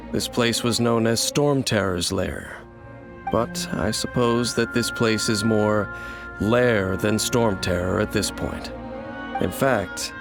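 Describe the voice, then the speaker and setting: An aloof, world-weary wanderer from a video game. His voice sits low, and he speaks formally and solemnly, keeping a stoic, cryptic air over background music.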